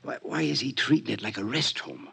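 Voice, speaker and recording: A man's voice: medium pitch, a little fast, sharp tone, heavily acted. An actor playing an ailing, short-winded old male convict, eager and pleading, talking in conspiratorial street slang in a 1950s radio drama. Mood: sly, eager. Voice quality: raspy, breathy, gasping. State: weak, coughing, short of breath.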